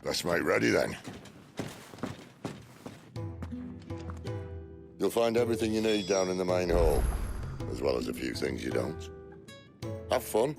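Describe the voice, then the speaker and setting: A man voicing a wry middle-aged rebel leader in a video game, with a gravelly British voice and a dry, sardonic, conversational delivery. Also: deep voice, expressive, normal speed, music in the background. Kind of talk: video game cutscene acting.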